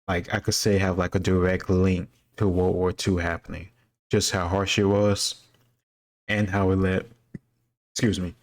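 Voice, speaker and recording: Casting: male, very low voice, little pitch movement, off-the-cuff explainer who thinks aloud. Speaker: host answering history quiz questions. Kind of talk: casual reaction commentary, thinking aloud.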